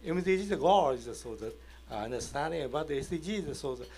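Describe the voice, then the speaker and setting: A man in his sixties with a Japanese accent, speaking halting, broken English as a conference panelist. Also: fairly high pitch, animated, a little mellow, a little hiss or hum. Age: 60s. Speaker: panelist, company executive. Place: indoor conference event on a stage. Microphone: handheld microphone.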